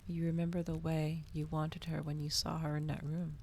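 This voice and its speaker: A woman voicing a calm, persuasive male vampire in a soft, coaxing ASMR story reading. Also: deep voice, normal speed, a little hiss or hum.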